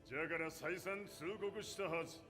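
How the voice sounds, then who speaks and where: A man's voice: fairly high pitch, quick pace, lightly acted. A man playing an imperious, ruthless old warlord patriarch in a video game, speaking in an archaic, commanding manner. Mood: scornful, commanding. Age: older. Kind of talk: dramatic video game cutscene acting. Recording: long hall reverb, music underneath.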